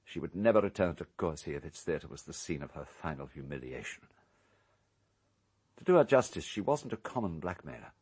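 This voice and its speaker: An actor playing an urbane, calculating English island owner in a radio drama, speaking in a measured, precise, coolly unrepentant way. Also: male voice, extremely low pitch, sing-song, darkish tone, husky, heavily acted. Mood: smooth, regretful.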